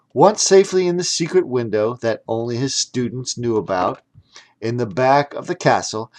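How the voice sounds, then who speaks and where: A man's voice: medium pitch, darkish tone. A man narrating a children's audiobook in a theatrical, playful storytelling manner. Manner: theatrical, with playful sound words. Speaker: audiobook narrator reading his own story.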